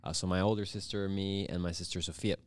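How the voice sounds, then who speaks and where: A man's voice: sits low, level tone, quick pace, smooth. Easygoing young man telling his story. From Bolivia. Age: 20s. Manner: easygoing storyteller, uses "you know" and "like". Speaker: podcast guest. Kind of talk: casual interview chat.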